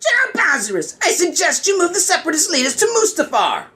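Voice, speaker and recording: A sinister dark lord for a spoof audio play. The pitch is pushed extremely high, far above ordinary male speech, while the delivery stays commanding and calculating. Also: huge pitch swings, quick pace, crowd noise, heavily acted.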